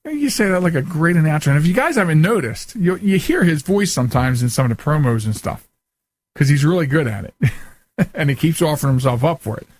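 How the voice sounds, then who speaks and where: A man's voice: fairly high pitch, lively intonation, brisk, dry recording. An American man hosting a podcast in casual, unscripted talk, earnest and emphatic, often repeating himself as he goes. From United States. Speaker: talk show host.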